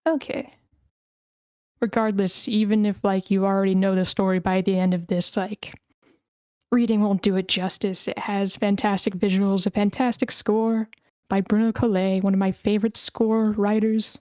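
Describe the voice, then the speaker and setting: Clear, mellow woman hosting casually. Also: mid-range voice, flat delivery, moderate pace, no echo, studio quality. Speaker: host of an online screenplay table read. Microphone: close microphone on an online voice call.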